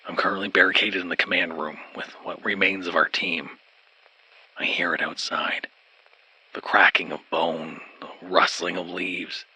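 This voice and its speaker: A man acts out a frightened soldier giving a grim first-person horror account. His voice is low and husky, with a very sharp, tense edge. The delivery is formal and deliberate, like a doomed final report. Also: exaggerated voice, low-quality recording. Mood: doomed dread.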